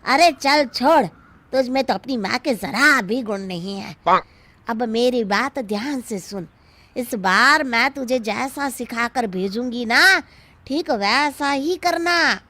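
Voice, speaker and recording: Sharp, smug, scheming mother, played by a woman. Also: a little high, expressive, slow pace, no room sound, heavily acted. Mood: bossy. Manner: bossy, smug, theatrical. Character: crafty, boastful middle-aged scheming mother. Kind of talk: animated comic moral story acting.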